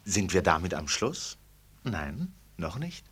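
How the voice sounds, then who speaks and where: An actor playing an urbane, ironic storyteller in a literary radio play, with a theatrical manner. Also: male voice, sits low, very animated, slow pace, a bit bright, husky, exaggerated voice. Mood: wry, musing. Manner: theatrical, wry, rhetorical questions. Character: urbane, ironic male storyteller who banters with the characters. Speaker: narrator.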